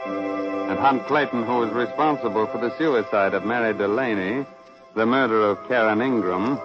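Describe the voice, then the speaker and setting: A man's voice: lowish voice, close to an everyday voice. An actor playing a macabre radio horror host, mocking and ghoulishly amused as he narrates in 1940s style. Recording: dull old radio recording, faint music.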